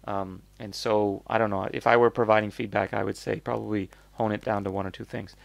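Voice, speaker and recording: A man's voice: fairly low pitch, level tone, a little fast, vocal fry, dry recording. A man giving casual, off-the-cuff commentary as a review host, hesitant and thinking aloud as he hedges his points. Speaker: host reviewing crowdfunding projects.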